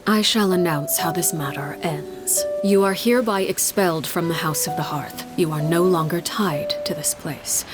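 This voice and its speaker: A woman playing a stern, composed leader in a video game, speaking in a formal, measured, solemn way. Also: fairly low pitch, over music, close to an everyday voice. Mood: solemn authority.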